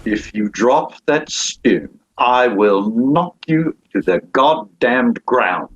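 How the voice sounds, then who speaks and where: An actor playing a cruel, cold aristocratic Duke at a script table read, menacing and measured. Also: male voice, medium pitch, expressive, muffled recording. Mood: threatening.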